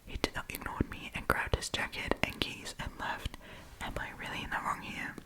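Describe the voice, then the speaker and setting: A woman whispers throughout in an ASMR storytime style, close to the mic. She reads and comments like a chatty host, with an informal, giggly manner. Her voice stays hushed and breathy, without full voicing. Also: normal speed. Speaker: host reading Reddit stories and commenting.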